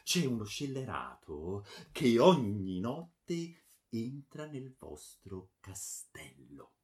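A man voicing a grim, spiteful friar who pleads and insinuates haltingly in a story. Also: mid-range voice, sing-song, a little mellow. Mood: pained, insinuating.

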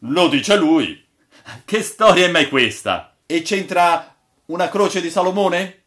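Man doing excitable sailors, pitched high. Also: takes it slowly. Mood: incredulous, scoffing. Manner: exclaiming, mocking. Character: incredulous, excitable male sailors of the crew.

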